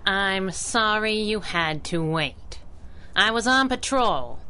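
Sassy young female vigilante sidekick, 1930s radio style. Bright, very animated, unhurried. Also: medium pitch, smooth. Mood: sassy, threatening. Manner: sassy, self-narrating pulp patter. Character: plucky, wisecracking young female masked crimefighter sidekick.

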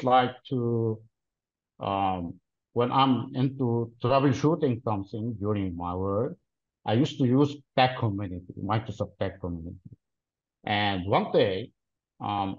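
A man in his thirties from Lebanon, answering interview questions in a deliberate, explanatory way with frequent pauses, heard over a video call. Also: mid-range voice. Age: 30s. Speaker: interview guest, cloud solutions architect. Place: plain-walled room, indoors. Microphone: video call webcam. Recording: dull lo-fi video call audio.

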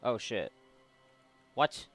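A man's voice: extremely slow, a bit bright, soft background music. A man giving casual, rambling and sarcastic let's play commentary, swearing freely as he goes. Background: video game ambience.

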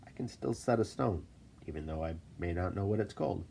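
A man with a stuffy voice from a cold, casually explaining stone setting in a relaxed, self-deprecating way at his workshop desk. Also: deep voice, fairly quick, vocal fry, slight background noise. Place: workshop desk, filmed from above.

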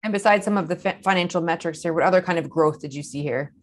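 A woman's voice: lowish voice, fast talker, a little hiss or hum. A young woman asking prepared questions as a business news interviewer. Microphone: lapel mic.

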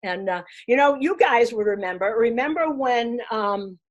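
An older woman with a Boston accent tells baseball stories in a lively, casual way, restarting her sentences often, speaking on a video call from her home. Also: a little high, normal speed, lo-fi sound. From Boston, USA. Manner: animated, talks with her hands, restarts often. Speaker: guest, baseball broadcaster. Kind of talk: casual interview storytelling. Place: room at home, framed pictures on the wall.

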